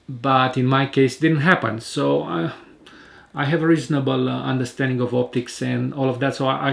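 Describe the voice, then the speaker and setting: Calm, gravelly-voiced man, full of fillers. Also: mid-range voice. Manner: calm, hesitant, many fillers and restarts. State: recovering from eye surgery. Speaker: patient sharing his surgery experience. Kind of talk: casual spoken vlog. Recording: home video recording.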